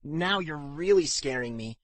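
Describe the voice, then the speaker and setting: A man acts out an anxious young pig farmer in a fantasy audiobook. He sounds earnest and self-doubting, pitched well above a usual male voice. The recording is dry and close. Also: animated, a little slow, no echo. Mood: wry, resigned. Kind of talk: audiobook character dialogue.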